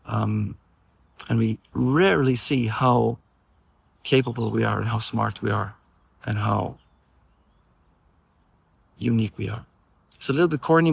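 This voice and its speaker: A man from Iceland teaching an online filmmaking class in a relaxed, gently encouraging way, with some fillers when he talks off the cuff. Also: lowish voice, vocal fry, breathy edge, no echo. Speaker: film teacher. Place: outdoors in nature. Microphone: phone recording nearby.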